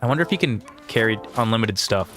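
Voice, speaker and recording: A man's voice: lowish voice, lively intonation, fast, a bit bright, soft background music. A man in his thirties giving casual, rambling gameplay commentary in slangy, exclaiming speech. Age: 30s. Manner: rambling, slangy, often says "dude". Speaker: gaming video creator and streamer.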